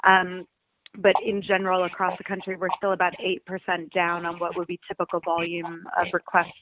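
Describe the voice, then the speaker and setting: A woman's voice: lowish voice, completely flat delivery, fairly quick. A woman chief executive explaining things conversationally in a phone interview, with frequent "you know"s. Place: on a telephone call. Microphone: telephone. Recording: tinny phone line with background noise.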